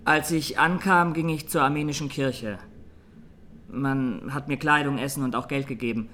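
An actor playing a young Armenian massacre survivor giving halting, sombre testimony in a radio drama. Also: male voice, medium pitch, bright, forceful tone, a little hiss or hum, lightly acted. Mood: sober recounting.

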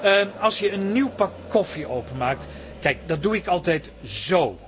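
An actor playing a pedantic, obsessive, fretfully polite housemate in a radio play. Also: male voice, sits high, animated, normal speed, fairly bright tone, slight background noise.

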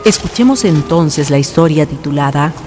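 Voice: mid-range voice, expressive, moderate pace, over music, close to an everyday voice. A narrator solemnly delivering a literary introduction to a legend in a radio drama.

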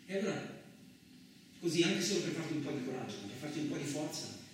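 An actor playing a coarse, suspicious, lonely truck driver in a radio theatre monologue, rambling colloquially with frequent questioning interjections. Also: male voice, a little high, very animated, quick pace. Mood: uneasy, hushed. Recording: very echoey, distant, noisy room recording.

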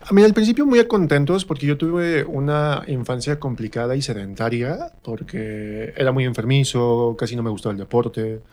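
A man with a central Mexican accent speaks at a middle pitch in a casual interview. He tells stories about himself conversationally, slipping into little re-enactments of what people said. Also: moderate pace, hardly any pauses, a little mellow. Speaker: interview guest, stage actor. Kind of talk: casual personal storytelling in a radio interview.

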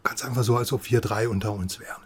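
An actor playing a reassuring old friend in a radio drama, talking informally in a coaxing, familiar way. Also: male voice, lowish voice, steady tone, quick pace, husky.

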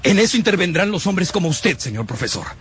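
A man's voice: sits high, big pitch swings, fairly quick, fairly bright tone, slight background noise. An actor playing a fanatical, contemptuous male survivor in a radio drama, haranguing and menacing in a declamatory way. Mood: grandiose, cold.

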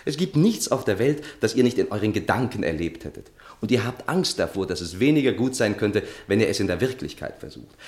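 An actor playing a weary, hard-drinking young charmer in a theatrical, cajoling 1960s German radio play style. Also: male voice, lowish voice.

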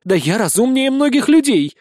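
A man's voice: very high-pitched, big pitch swings, normal speed, darkish tone, no echo, clean studio sound, voice pushed up, full character voice. A man voicing a furious, haughty winged female creature in an audiobook, snarling and blunt.

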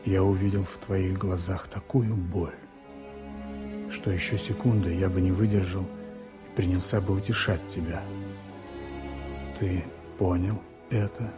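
An actor playing a loyal, tender frontline journalist, earnest and measured, in the style of a 1970s Soviet radio drama. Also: male voice, low voice, steady tone, music in the background. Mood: tender remembrance. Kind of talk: 1970s Soviet radio play acting and narration.

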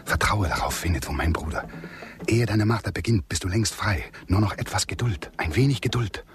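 An actor playing a loyal, steady frontiersman in hiding, reassuring in an urgent half-whisper in a radio drama. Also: sits low, a little fast. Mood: hushed reassurance. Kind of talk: German radio drama acting. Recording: studio radio drama with prominent music behind.

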